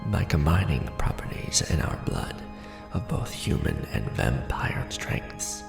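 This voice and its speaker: A man playing a courtly, brooding vampire lord in an ASMR roleplay, speaking formally and deliberately in a hushed, breathy, gravelly voice. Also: very deep voice, big pitch swings, exaggerated voice. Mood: solemn, assured. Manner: formal, hushed, deliberate, theatrical aristocratic address. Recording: roomy echo under background music. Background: music.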